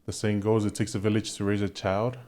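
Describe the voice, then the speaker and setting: Man, podcast host, scripted intro then direct questions, low voice, mellow tone. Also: level tone, a little fast. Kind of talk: podcast interview.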